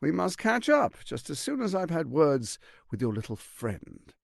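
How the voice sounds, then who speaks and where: An actor playing a suave, scheming tyrant archduke in a video game, speaking in a pompous, silky, menacing and theatrical manner. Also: male voice, a little high, huge pitch swings, measured pace, vocal fry, dry recording, clean studio sound, full character voice. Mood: silky, mocking. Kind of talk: video game character acting.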